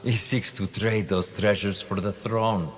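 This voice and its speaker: Actor as a very deep, imperious scheming villain. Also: male voice, steady tone, slight background noise, natural voice. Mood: cold, calculating. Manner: deliberate, imperious, silky menace. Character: cold, scheming Templar villain, a calculating man of ambition. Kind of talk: video game dramatic acting.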